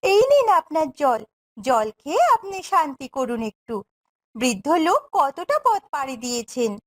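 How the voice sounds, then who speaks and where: A woman's voice: high-pitched, big pitch swings, moderate pace. A woman playing a meek, kind, hard-done-by young stepdaughter in a fairy-tale cartoon, with a plaintive, dutiful way of speaking.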